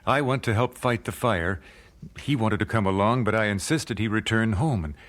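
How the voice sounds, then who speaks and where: An actor playing an earnest nineteenth-century American gospel singer in a radio drama, speaking in a measured, solemn, dramatic way. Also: male voice, fairly low pitch, lightly acted. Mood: anguished, guilty. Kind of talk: radio drama narration and dialogue.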